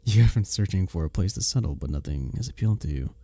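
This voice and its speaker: A man playing a kind, courteous innkeeper in a medieval fantasy village, speaking softly and formally in an intimate ASMR roleplay drama. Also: deep voice, animated, quick pace, no room sound. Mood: curious. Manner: gentle, formal storybook phrasing, hesitant and tender.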